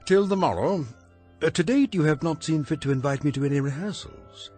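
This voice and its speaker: An actor playing a proud, dignified Elizabethan playwright in a radio drama, speaking in formal, archaic, theatrical phrases. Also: male voice, a little high, fairly quick, darkish tone, faint music behind, lightly acted. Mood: lofty. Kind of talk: comedic radio drama acting.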